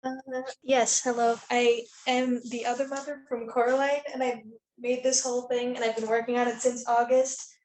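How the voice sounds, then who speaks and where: A woman's voice: a little high, level tone, moderate pace, darkish tone. A woman giving a casual explanation on a video call from an echoey room. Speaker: costumed participant.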